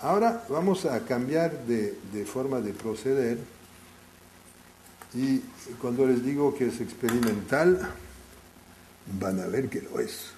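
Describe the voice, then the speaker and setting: A man who is not a native speaker of the language gives a live lecture to an audience. He talks in a halting way, restarting phrases often as he thinks aloud. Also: mid-range voice, lively intonation, mellow, a little hiss or hum, poor audio. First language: not Spanish.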